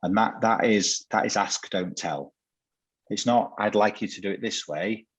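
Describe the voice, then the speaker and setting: A deep-voiced man hosts a relaxed business interview, his tone low and a little husky. He talks earnestly and circles back on his words as he builds each point. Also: moderate pace. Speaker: host. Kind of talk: conversational interview.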